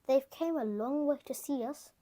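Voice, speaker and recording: A woman reading the part of a gentle mother pig in a storybook, calm and friendly. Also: high-pitched, normal speed, lightly acted.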